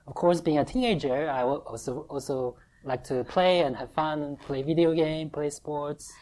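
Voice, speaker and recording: Woman preaching, super low voice, choppy short phrases w pauses for translator. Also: dark tone, rough recording. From Taiwan. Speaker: preacher giving testimony. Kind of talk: sermon with line-by-line interpretation.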